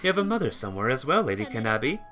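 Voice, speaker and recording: A visual novel reader voicing a gentle, playful grown woman, a lady-in-waiting with polite, deferential speech. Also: mid-range voice, very animated, rapid-fire, faint music behind. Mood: gentle, soothing. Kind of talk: visual novel dialogue read aloud.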